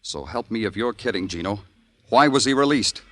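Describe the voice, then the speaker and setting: Low-voiced, weary noir detective. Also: male voice, lively intonation, normal speed, pushed and bright, dry recording. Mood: weary, impatient. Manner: terse, brooding, dramatic. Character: world-weary, sardonic male police detective. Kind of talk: 1950s radio noir narration and acting. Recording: old radio recording.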